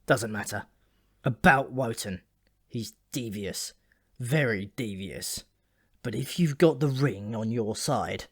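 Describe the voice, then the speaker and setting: A man's voice: medium pitch, takes it slowly, fairly bright tone, slightly rough, no echo, full character voice. Ancient dwarf for a fantasy audiobook , acted by a man: dyspeptic, gloomy, rambling, grudgingly friendly, recorded dry. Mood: confiding, warning.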